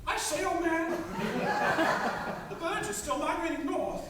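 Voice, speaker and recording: An actor playing a jolly, proper English rooster with an English accent in a stage radio play. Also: male voice, extremely high pitch, slow pace. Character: jolly English rooster, excitable and proper, a talking farm bird. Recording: echoey hall from far off, noisy.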